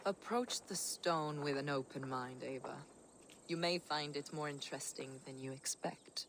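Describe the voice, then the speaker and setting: A woman's voice: low-pitched, moderate pace. An actress playing a devout, cryptic heretic mystic in a video game, formal and fervent in her speech. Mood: intrigued, inviting. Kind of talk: dramatic game acting. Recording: clearly noisy game ambience behind.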